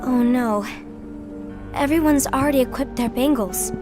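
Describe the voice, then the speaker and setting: A woman's voice: sits high, measured pace, music in the background. A woman playing a sweet, anxious young girl in a video game cutscene, timid and worried.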